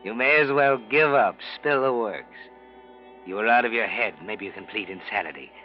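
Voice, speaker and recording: An actor playing an ominous narrator who taunts in terse second-person storytelling, in 1940s radio suspense style. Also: male voice, on the low side, normal speed, soft background music, lightly acted. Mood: ominous, taunting. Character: ominous male narrator taunting the guilty protagonist. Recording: muffled old radio recording.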